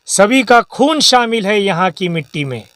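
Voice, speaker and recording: A man's voice: high voice, animated, a little slow, fairly bright tone, dry recording, clean studio sound. A man from making an impassioned, exhortative political appeal, emphatic and repetitive, with English words mixed in. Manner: exhortative, emphatic, repeats phrases for effect; mixes English words into speech. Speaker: political activist giving a statement.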